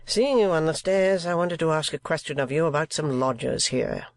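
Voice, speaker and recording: A woman voicing a blunt, stern gentleman in a storybook reading, speaking in a clipped, deliberate way. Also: low voice, dry recording.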